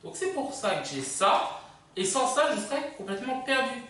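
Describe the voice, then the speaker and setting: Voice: medium pitch, big pitch swings, moderate pace, sharp tone, a little hiss or hum. A video host presenting a countdown casually and unscripted, rambling and hesitant, often drifting into asides. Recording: echoey room, distant microphone.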